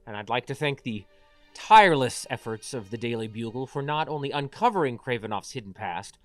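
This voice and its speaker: A self-righteous company boss acted by a man speaks as if at a podium, formal and carefully measured. The voice sits at a middle pitch in a dry recording. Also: expressive, no echo, soft background music, close to an everyday voice. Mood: composed, defensive. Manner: measured, formal public statement. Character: smooth, self-righteous male corporate boss, deceitful villain. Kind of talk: dramatic table read acting.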